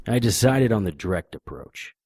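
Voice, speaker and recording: An actor playing a deadpan, hardboiled private investigator, narrating and speaking in a noir audio drama. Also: male voice, lowish voice, moderate pace, background noise. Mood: deadpan, tense. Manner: deadpan, wisecracking.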